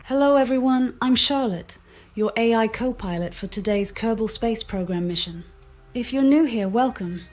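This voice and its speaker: A synthetic woman's voice as a helpful AI co-pilot, slightly husky, giving formal, orderly explanations. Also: a little high, normal speed, a little mellow. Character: helpful female AI spaceflight co-pilot. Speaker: AI co-pilot assistant. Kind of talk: scripted explanatory assistant speech. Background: music.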